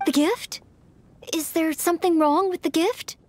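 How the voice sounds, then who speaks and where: An actress voicing a shy, earnest, dutiful teenage maid knight in an anime-style game, polite and hesitant. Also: female voice, extremely high pitch, steady tone, a little slow, a little mellow, faint music behind. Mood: earnest, helpful.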